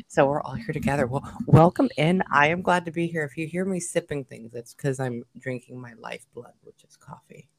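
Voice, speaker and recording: A woman guest on a podcast who has just woken up, talking in a low, sleepy voice with a bubbly, joking manner over a remote recording. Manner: bubbly and jokey, quick asides. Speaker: podcast guest, psychic medium.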